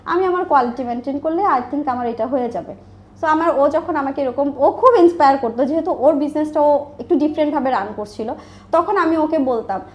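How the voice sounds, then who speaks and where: Bangladeshi woman, pretty high voice, chatty n emphatic interview guest, drops in english words. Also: a little fast. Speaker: interview guest, online business owner. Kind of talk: candid conversational interview.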